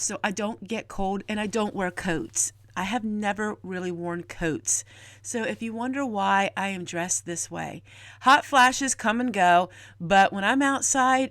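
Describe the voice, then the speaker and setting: A middle-aged woman talks through everyday homestead tasks as a vlogger. Her voice sits at an ordinary pitch for a woman, with a dry, close sound free of room echo. She is plain and folksy in how she explains things. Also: fairly bright tone. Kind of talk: homemaking vlog narration, explaining chores and cooking.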